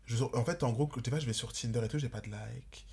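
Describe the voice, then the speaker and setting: A man's voice: mid-range voice, fast talker, creaky, darkish tone. A man in his twenties chatting casually as a podcast guest, rambling and slangy, dropping in English words. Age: 20s. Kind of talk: casual chat.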